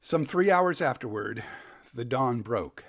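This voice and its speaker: A man hosting and reading Victorian ghost stories in a measured, expressive storytelling manner. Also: medium pitch. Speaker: podcast host and reader of ghost stories.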